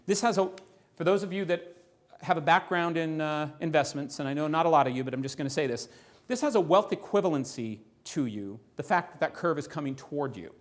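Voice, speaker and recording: A man presenting financial ideas to a live audience in a room, animated, often asking the listeners rhetorical questions. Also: on the high side, a little fast.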